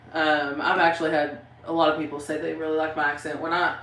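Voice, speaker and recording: Low-voiced Southern woman chatting. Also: level tone, normal speed, fairly bright tone, reverberant, low-quality recording. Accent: Southern American (Kentucky). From southeastern Kentucky, USA. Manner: chatty and rambling, many "um" and "like", laughs often. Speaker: paranormal channel host reading live chat. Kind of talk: casual livestream chat.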